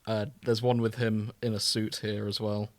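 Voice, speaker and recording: A man's voice: lowish voice, little pitch movement, normal speed, dry recording. A man co-hosting a casual chat show, talking in a teasing, conversational way. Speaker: podcast co-host.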